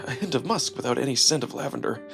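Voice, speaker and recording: A man narrating a first-person story dramatically, with expressive, deliberate pauses. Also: medium pitch, creaky. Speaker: narrator and performer. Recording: music behind the voice. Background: music.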